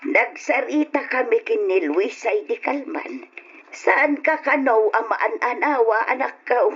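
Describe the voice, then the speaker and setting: An actress playing an older, concerned mother pleading with a grown son in an old radio drama, husky-voiced. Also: fairly high pitch, measured pace, muffled recording, faint music behind.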